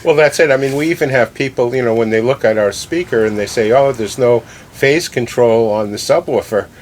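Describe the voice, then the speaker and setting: A man answering interview questions conversationally, explaining his points and repeating phrases for emphasis. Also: fairly quick. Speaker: loudspeaker company president, interview guest. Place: listening room in a home. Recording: clear background noise with low rumble.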